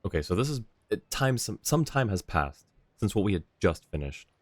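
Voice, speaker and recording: A man's voice: lowish voice, big pitch swings, normal speed. A man livestreaming a game with casual, conversational commentary into a close mic. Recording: dry close mic, game music behind.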